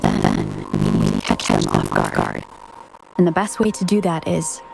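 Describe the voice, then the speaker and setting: An actress playing a cool, assertive strategist in a video game, with a deliberate, assured manner. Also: female voice, fairly low pitch, wildly swooping pitch, creaky, over music, full character voice. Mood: calm, plotting.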